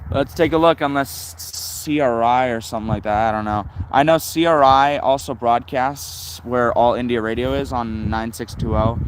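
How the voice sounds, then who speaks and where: A man's voice: mid-range voice, moderate pace, hardly any pauses, background noise. A man giving casual, unscripted hobbyist commentary as a livestream host, rambling and thinking aloud. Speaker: shortwave radio livestream host.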